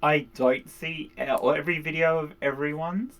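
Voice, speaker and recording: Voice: mid-range voice, fairly even intonation, normal speed, a crowd in the background. A livestream host, chatting casually and laughing often.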